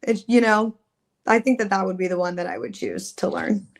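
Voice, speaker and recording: A woman's voice: mid-range voice, fairly even intonation, normal speed, creaky. A young adult woman, a singer guest on a podcast, chatting casually and upbeat with many "like"s and "um"s. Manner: chatty, upbeat, uses "like" and "um" often. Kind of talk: casual interview chat.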